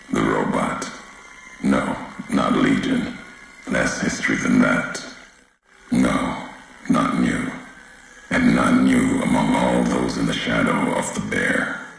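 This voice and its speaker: An actor playing a grim, philosophical wasteland courier in a video game, speaking in terse, cryptic, ominous fragments with a gravelly voice. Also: male voice, a bit bright, exaggerated voice. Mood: disdainful, grave. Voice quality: very deep, gravelly. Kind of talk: video game character dialogue. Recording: heavily reverberant, faint background noise.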